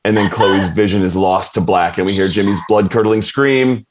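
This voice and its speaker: A man's voice: fairly low pitch, steady tone, normal speed, a little hiss or hum. A man reading stage directions as scripted narration for a dramatic table read.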